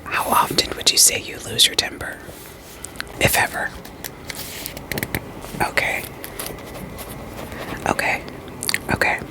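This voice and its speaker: A woman playing a calm, reassuring therapist in an ASMR roleplay, whispering softly and breathily with gentle, deliberate questions. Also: close to an everyday voice. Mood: gentle, probing. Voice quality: soft, breathy, mostly unvoiced whisper. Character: calm, reassuring female therapist screening patients for werewolves.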